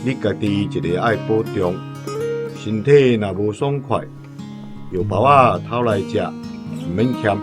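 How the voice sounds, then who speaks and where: A man with a Taiwanese accent playing an obliging village letter writer in a radio drama, patient and measured. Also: lowish voice, music in the background, lightly acted.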